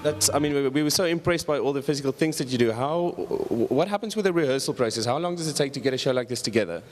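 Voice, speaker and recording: Male host, quick and enthusiastic, stumbles, echoey space. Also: medium pitch, expressive, soft background music. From South Africa. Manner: fast, gushing, stumbling over words. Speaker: interviewer. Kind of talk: casual interview.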